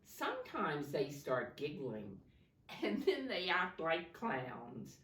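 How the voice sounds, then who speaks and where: A woman reading a picture story aloud to young children in a sing-song way, pausing to point things out and ask simple questions. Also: sits low, huge pitch swings, a bit bright, slight background noise. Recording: roomy echo, distant microphone.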